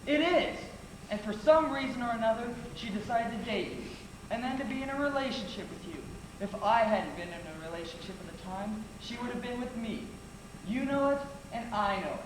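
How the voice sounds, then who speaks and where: A man's voice: very high-pitched, lively intonation, a little fast. A young male actor playing a taunting, smug romantic rival in an amateur stage play. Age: young adult. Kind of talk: amateur stage acting, projected dialogue. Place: theater stage. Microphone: distant audience camera. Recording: very echoey, noisy theater hall recording.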